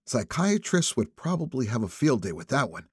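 Husky, animated male audiobook narrator. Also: medium pitch, normal speed, no room sound, clean studio sound. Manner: expressive, suspenseful phrasing. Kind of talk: dramatic first-person thriller narration.

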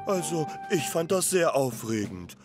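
A man's voice: fairly high pitch, sing-song, a little slow, music in the background. A man voicing an eager, clumsy, good-hearted young firefighter in a children's cartoon, exclaiming earnestly. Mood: eager, excited. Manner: exclamatory, earnest, swooping delivery. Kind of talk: animated children's cartoon dubbing.

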